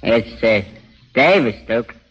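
An actor playing an uneasy American flophouse innkeeper with hesitant, slangy speech in a 1940s radio crime drama. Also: male voice, medium pitch, animated, slow pace, a bit bright, breathy edge, heavily acted. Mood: nervous. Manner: hesitant, folksy tough slang. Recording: muffled 1940s radio recording.

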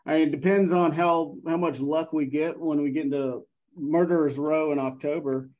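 A man joins a sports podcast as a guest and rambles with lots of fillers. His voice sits a bit high. The recording sounds dull and lo-fi. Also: steady tone, normal speed. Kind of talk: casual sports chat.

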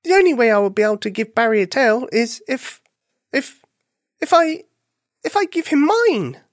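Lady doing a little boy bunny for a storybook, really high and anxious, pleading and wailing. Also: expressive, normal speed, dry recording, clean studio sound. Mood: sad, hesitant. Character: small young male bunny, earnest, anxious, easily upset. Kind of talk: children's storybook character voice.

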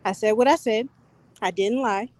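A woman from Houston with a naturally soft, quiet voice. She sits high in her register and delivers blunt takes with calm self-assurance, never raising her voice. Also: moderate pace. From Houston, Texas. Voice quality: soft-spoken. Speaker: guest caller. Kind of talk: casual audio chat room banter.

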